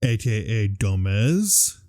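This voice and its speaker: A man's voice: fairly low pitch, very animated, slow pace, mellow. A man hosting a podcast in casual, profane chat, reading out film trivia and riffing on it. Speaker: podcast host.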